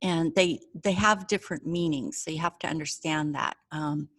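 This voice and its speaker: An American woman with a low-pitched voice talks informally to her viewers as an astrologer. She explains things patiently and step by step, reassuring listeners and checking in as she goes. The sound is dry, with very little room echo. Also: fairly even intonation, normal speed, a bit bright. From United States. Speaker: astrologer presenting a chart reading. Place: indoors, away from home.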